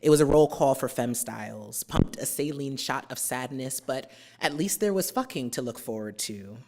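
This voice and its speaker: An American woman from New Jersey giving a poetry reading, chatting through her introductions with many fillers and reading the verse slowly and with care. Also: extremely low pitch, expressive, background noise. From New Jersey, USA. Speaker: poet. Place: university symposium venue.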